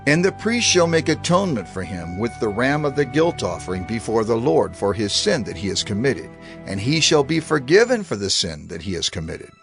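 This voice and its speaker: God laying down the law. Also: male voice, mid-range voice, sing-song, brisk, gravelly, faint music behind. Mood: commanding. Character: God giving laws, measured and commanding. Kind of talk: dramatized scripture reading.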